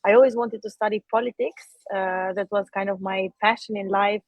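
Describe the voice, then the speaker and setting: Guest speaker, woman in her 30s, measured, mid pitch, dry sound. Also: steady tone, no room sound, dull audio. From Albania. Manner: measured, with frequent filler sounds and restarts. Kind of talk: career story in a conversational interview.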